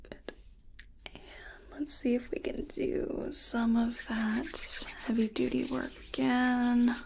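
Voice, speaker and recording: A woman speaking softly and often in a whisper, gently guiding the listener through an ASMR personal attention roleplay as an energy healer. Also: on the high side, level tone. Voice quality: soft, breathy, often whispering. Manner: gentle, unhurried, reassuring. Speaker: ASMR performer playing an energy healing practitioner.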